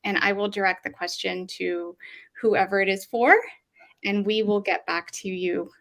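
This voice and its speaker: A woman's voice: medium pitch, steady tone, a little slow, a bit bright. A woman speaking informally as a panelist in an online community discussion.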